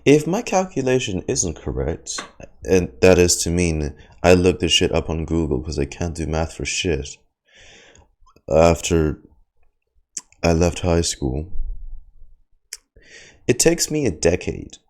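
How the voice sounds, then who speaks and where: A man's voice: low voice, very animated, slow pace, pauses often, darkish tone. A man in his twenties giving casual streamer reactions to a game, rambling and slangy with some swearing. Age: 20s.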